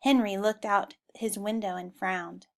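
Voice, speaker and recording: A woman reading a children's storybook aloud in an expressive style. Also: mid-range voice, a little slow, creaky. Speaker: storybook reader.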